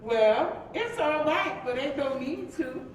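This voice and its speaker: A sassy, chatty married woman of the 1950s, played by an actress on stage, gossiping with folksy endearments. She speaks high and unhurried, and sounds far off in a very echoey hall. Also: expressive, slight background noise. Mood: teasing. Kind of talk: live stage reading of a play.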